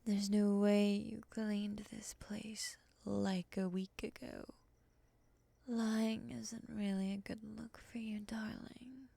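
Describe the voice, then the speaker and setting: A woman playing a cool, skeptical moth monster woman, breathy and half-whispered, sardonic and condescending, in an ASMR roleplay. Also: mid-range voice, flat delivery, slow pace, warm tone, dry recording. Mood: sardonic, disdainful.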